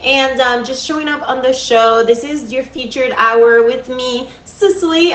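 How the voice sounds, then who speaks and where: A woman's voice: a little high, fairly bright tone. A woman in her thirties from Cuba with a Cuban Spanish accent, hosting a livestream in an upbeat, chatty way and talking straight to her viewers. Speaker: livestream host. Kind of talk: casual livestream talk to viewers. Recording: echoey room.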